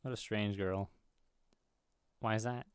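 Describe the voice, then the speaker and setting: Low, clear-voiced man reading game dialogue. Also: fairly even intonation, measured pace, dry recording, clean studio sound. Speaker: gaming video host. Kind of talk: reading visual novel dialogue aloud.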